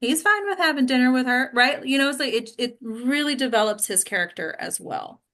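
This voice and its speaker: A woman's voice: sits high, lively intonation, a little fast, sharp tone. A woman leading an informal group discussion as an acting coach, speaking in an encouraging, emphatic way with frequent check-in questions.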